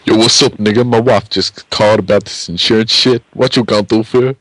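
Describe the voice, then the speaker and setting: A man's voice: fairly low pitch, steady tone, brisk, fairly bright tone, no echo. A man making a prank call, chatting casually in a flippant, slangy way. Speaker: prank caller.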